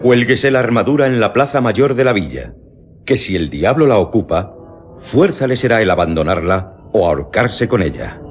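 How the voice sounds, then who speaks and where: An actor playing a solemn authority who pronounces a sentence pompously in a radio drama. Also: male voice, on the low side, big pitch swings, normal speed, soft background music. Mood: solemn, decisive.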